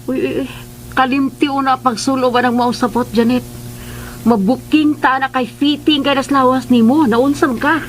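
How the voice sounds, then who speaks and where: An actress playing a bossy, fussy matron in a Philippine radio drama, with a Filipino accent and a scolding way of talking. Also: female voice, high-pitched, fairly even intonation, normal speed, slight background noise, close to an everyday voice, poor audio. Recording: music behind the voice.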